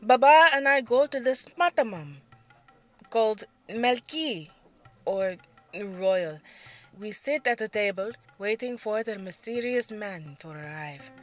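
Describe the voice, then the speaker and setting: A woman's voice: mid-range voice, pitched above the performer's own voice, lightly acted. A woman voicing a protective, serious older sister, a young dancer, in an audio drama story.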